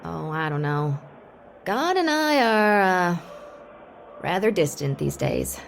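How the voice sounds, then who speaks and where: A woman's voice: on the low side, big pitch swings, slow, vocal fry, a little hiss or hum, exaggerated voice. An actress playing a troubled middle-aged mother who uses drugs, hesitant and confiding, in a radio drama. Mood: hesitant, evasive. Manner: hesitant, confessional. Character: troubled drug-using mother, lonely, wavering, confiding. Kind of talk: radio drama dialogue and first-person narration.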